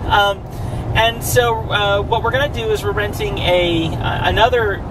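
High-voiced American man chatting over road noise. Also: steady tone, normal speed. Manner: chatty, rambling. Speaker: vlogger. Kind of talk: casual vlog chat. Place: inside a moving car, driving. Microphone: camera held by passenger. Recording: loud car and road noise.

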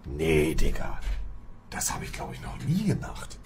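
A man's voice: a bass voice, wildly swooping pitch, measured pace, gravelly, background noise. A young man in a casual late-night chat, mumbling in slang and laughing a lot.

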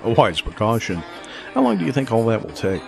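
A man's voice: mid-range voice, huge pitch swings, fairly quick, creaky, music in the background. An actor playing a polite, courteous male college professor of about fifty in an audio drama.

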